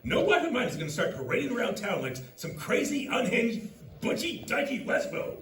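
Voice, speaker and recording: An actor playing a domineering, indignant traditionalist husband, belligerent and sarcastic, in a heated drama. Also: male voice, very high voice, big pitch swings, fairly quick, a bit bright, exaggerated voice. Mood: indignant, scornful. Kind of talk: emotional dramatic stage-style acting. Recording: echoey room from far off, noisy.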